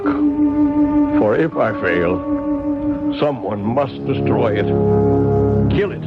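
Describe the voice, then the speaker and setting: An actor playing a haunted clockmaker confessing a dark tale in a dramatic 1970s radio play. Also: male voice, medium pitch. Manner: grave, dramatic. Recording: muffled old radio recording over loud music.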